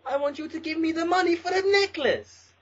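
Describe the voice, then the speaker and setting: A man's voice: very high-pitched, fairly quick, a little hiss or hum. A man playing an angry, entitled, foul-mouthed young mayor's son on a prank phone call. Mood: angry, threatening. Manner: swearing, threatening, indignant. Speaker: prank caller.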